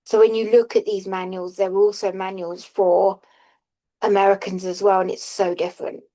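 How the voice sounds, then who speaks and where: A woman speaks over a video call, halting and self-deprecating, with plenty of fillers. Her pitch stays level and even. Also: poor audio. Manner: hesitant, many fillers, self-deprecating laughs. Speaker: workshop participant. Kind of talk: casual chat in an online workshop.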